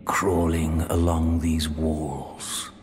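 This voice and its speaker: A man playing a grave, brooding guardian confessor in a video game, with portentous, drawn-out delivery. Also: very deep voice, completely flat delivery, a little mellow, slight background noise, exaggerated voice. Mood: brooding, mournful. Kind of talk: scripted dramatic video game monologue. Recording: long cavernous reverb.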